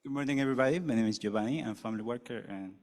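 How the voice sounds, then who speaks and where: A man's voice: medium pitch, fast, a little mellow, long reverb tail. A man family worker introducing himself to colleagues, lighthearted. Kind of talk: self-introduction.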